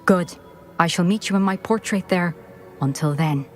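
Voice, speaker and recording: A woman playing a dignified former headmistress in a video game, speaking in a formal, measured manner. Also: fairly low pitch, soft background music, natural voice. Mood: grave, measured. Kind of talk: video game cutscene acting.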